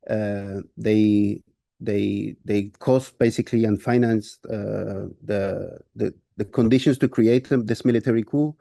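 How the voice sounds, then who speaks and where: A man's voice: on the low side, steady tone, unhurried, creaky, dark tone, dry recording, clean studio sound. A man in his fifties from Chile, a guest artist answering interview questions thoughtfully, with many restarts and fillers. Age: 50s.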